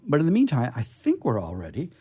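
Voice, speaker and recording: Lively, folksy male radio host. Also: medium pitch, quick pace, husky. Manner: rambling, jokey, folksy. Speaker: host of live radio theatre show. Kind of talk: casual live show introduction. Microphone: studio microphone.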